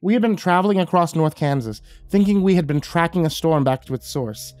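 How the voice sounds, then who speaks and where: A man's voice: on the high side, brisk, darkish tone, dry recording, studio quality, soft background music, pitched above the performer's own voice, natural voice. A man voicing a young woman in a spoken horror story, bitter and full of guilt as she recounts a loss. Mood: grieving.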